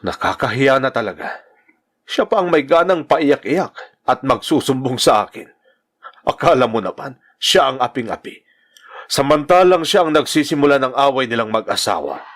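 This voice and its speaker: A man's voice: medium pitch, lively intonation, moderate pace, a bit bright. An actor playing a stern, exasperated older father in an audio drama, lecturing reprovingly. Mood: ashamed, exasperated. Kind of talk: Tagalog radio-style drama acting.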